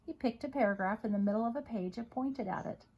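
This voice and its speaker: A woman with a husky voice reading a children's story aloud in an expressive, dramatic way. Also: on the high side, fast talker, dull audio. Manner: dramatic, with emphatic repetition and hushed moments. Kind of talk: expressive read-aloud narration.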